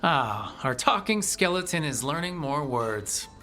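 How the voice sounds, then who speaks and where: An actor playing a kind, wry young adventuring leader in a video game. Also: male voice, on the high side, very animated, unhurried, pushed and bright, exaggerated voice. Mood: curious, warm. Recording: music behind the voice.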